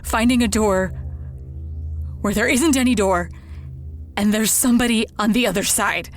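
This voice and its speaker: An actress playing a haunted woman in an audio drama, confiding a ghost story in an anxious, halting, repetitive way. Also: medium pitch, faint music behind, natural voice. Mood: hushed, eerie.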